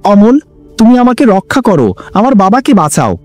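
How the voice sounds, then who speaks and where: A woman's voice: medium pitch, big pitch swings, moderate pace, darkish tone, no room sound, faint music behind, pitched above the performer's own voice, lightly acted. A man voicing a humbled young princess. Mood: pleading.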